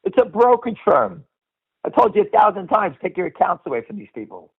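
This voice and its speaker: A muffled, lo-fi, radio-like line carries an older man with a fairly high-pitched voice. He gives off-the-cuff market commentary as a call host, blunt and profane, hammering his points by repeating them. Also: very animated, fairly quick, creaky, slightly rough. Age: middle-aged or older. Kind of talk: unscripted opinionated market commentary.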